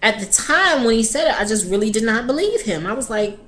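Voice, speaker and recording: A woman's voice: fairly high pitch, lively intonation, a little fast, fairly bright tone, a little hiss or hum. A woman in her thirties sharing a candid personal video diary, hesitant and often restarting her sentences. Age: 30s. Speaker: vlogger.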